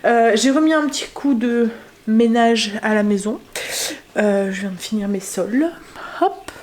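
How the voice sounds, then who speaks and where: A woman vlogging casually from home, with a stuffy nose from a cold, chatting with lots of filler pauses. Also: fairly high pitch, a little mellow, roomy sound, slight background noise. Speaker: family vlogger. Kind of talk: casual home vlog chat.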